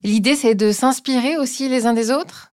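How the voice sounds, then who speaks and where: A woman hosting a podcast, reading a scripted introduction and asking calm, even interview questions. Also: sits high, moderate pace, no room sound, clean studio sound. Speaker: podcast host.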